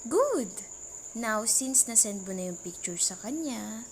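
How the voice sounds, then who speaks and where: Casting: woman to play jealous young Filipino girlfriend for intimate audio roleplay; higher voice, teasing mock threats, light cricket noise behind. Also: animated, slow. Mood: possessive, affectionate. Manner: teasing, mock-threatening, sarcastic, switching between Tagalog and English. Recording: noisy track with cricket and insect sounds.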